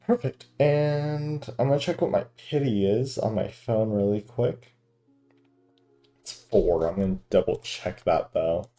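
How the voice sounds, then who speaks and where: A man's voice: lowish voice, a little slow, warm tone, faint music behind. A man giving casual gameplay commentary, muttering to himself as he thinks aloud. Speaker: video game streamer.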